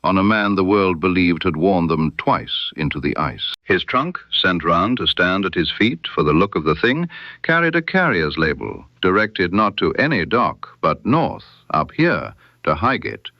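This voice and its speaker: A man voicing a stern, incisive detective in an audiobook mystery, precise and emphatic, with dramatic pauses. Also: low-pitched, very animated, no echo, highs cut off, heavily acted. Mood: accusing, precise. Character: stern, incisive male detective laying out his case.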